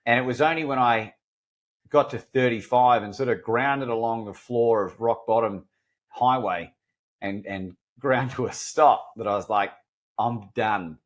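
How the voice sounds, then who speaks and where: A man's voice: mid-range voice, unhurried. Middle-aged man, Kiwi-Australian accent, mid-range voice, earnest and self-mocking podcast guest. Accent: mixed New Zealand and Australian. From New Zealand. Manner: earnest, self-deprecating, frequent restarts. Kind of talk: candid storytelling interview.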